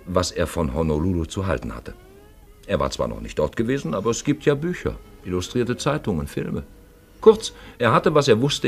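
An actor as a knowing radio narrator, reading a literary script in a wry, measured, ironic way. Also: male voice, sits low, a little mellow. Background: music.

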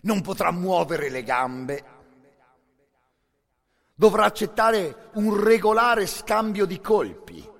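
Man acting a pompous Nazi-era sports official, raised loud voice, mock courtesy, menacing, radio theatre, long hall reverb. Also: high voice, voice pushed up, heavily acted. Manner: mock-courteous, emphatic, drawn-out delivery.

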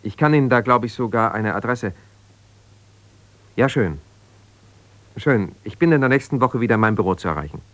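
An actor playing an ambitious, self-justifying lawyer in a German radio drama, speaking haltingly and conversationally as if on the phone. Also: male voice, fairly low pitch, expressive, no echo, close to an everyday voice. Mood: measured, advising. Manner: conversational, halting, with repeated phrases and pauses.

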